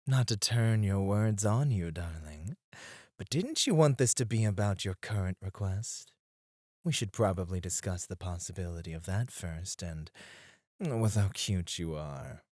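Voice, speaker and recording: A man playing a suave, flirtatious club escort in an intimate ASMR roleplay, teasing and breathy, close to the mic. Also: on the low side, darkish tone, no room sound. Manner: slow, teasing, seductive, often dropping to a breathy murmur. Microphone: close studio microphone, dry.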